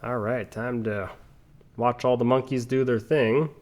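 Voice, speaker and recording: A young man casually commenting on his game playthrough as host, rambling through chatty side stories with lots of ums and restarts while calmly guiding the viewer. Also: mid-range voice, unhurried. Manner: relaxed, laid-back guiding of the viewer. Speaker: gaming channel host. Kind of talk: casual let's-play commentary.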